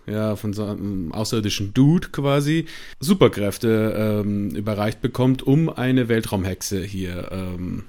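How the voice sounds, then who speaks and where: A man's voice: on the low side, a little slow, warm tone. A man with a regional German dialect, explaining a topic at length in a casual podcast chat, with many fillers and restarts. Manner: enthusiastic, rambling with fillers and restarts.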